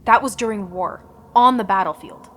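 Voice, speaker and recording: A woman's voice: medium pitch, normal speed, fairly bright tone, natural voice. A woman playing a principled, battle-hardened superhero in a radio drama, firm and defiant. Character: principled, tough female superhero, a battle-hardened war veteran.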